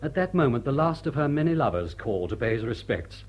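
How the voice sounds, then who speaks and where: An actor playing a suave, unflappable butler in a radio drama, formal and dry, with an upper-class English accent. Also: male voice, medium pitch, fast talker. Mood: dry, gossipy. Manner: formal, dry, deadpan wit. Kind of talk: British radio drama acting.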